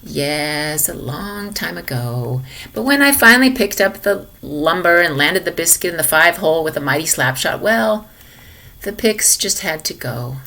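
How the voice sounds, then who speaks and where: A woman's voice: lowish voice, expressive, unhurried, gravelly, bright, forceful tone, exaggerated voice. A woman voicing a kindly, knowing old grandmother in a children's storybook. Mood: wistful, proud. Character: kindly old grandmother, sly former hockey player.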